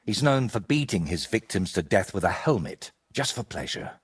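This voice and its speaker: A low, husky older man, a troubled medieval painter in a video game. His speech swings widely in pitch, earnest and haunted, weighed down by guilt and desperation. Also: sing-song, moderate pace, heavily acted. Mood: bitter, desperate. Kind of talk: video game dialogue acting.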